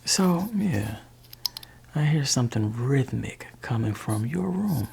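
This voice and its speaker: A man voicing a gentle, laid-back father in an ASMR roleplay, talking softly and whispering to his teenage child in a teasing way. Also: expressive, slow, creaky, slight background noise. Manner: soft-spoken, shifting between low voice and whisper. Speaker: ASMR creator.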